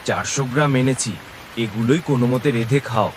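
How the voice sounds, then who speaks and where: A man's voice: medium pitch, brisk, natural voice. Weary, grumbling village husband, acted by a man. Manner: grumbling, complaining. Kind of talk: animated cartoon story acting. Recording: steady rain noise behind voice.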